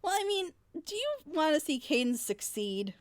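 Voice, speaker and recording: Exasperated woman giving blunt opinions, slightly high voice. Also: big pitch swings, normal speed, no echo. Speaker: reviewer in a group reaction show. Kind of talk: casual opinionated episode critique.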